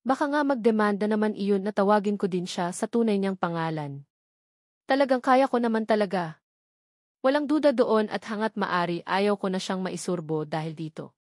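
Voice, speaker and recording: A woman's voice: medium pitch, darkish tone, no room sound, clean studio sound. A woman with a Filipino accent reading a first-person romance novel as scripted narration. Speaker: audiobook narrator.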